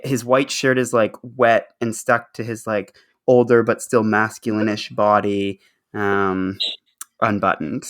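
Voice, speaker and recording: Man chatting casually in halting asides. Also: fairly low pitch, level tone, fairly bright tone. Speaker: podcast co-host. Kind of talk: casual chat.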